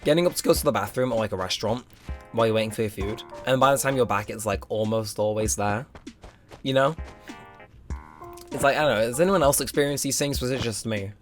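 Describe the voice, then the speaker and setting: A young man with a slightly hoarse, strained throat, rambling casually with lots of fillers while commenting on a game. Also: mid-range voice, fast. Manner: rambling, filler-heavy, self-mocking. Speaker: let's play host. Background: game music.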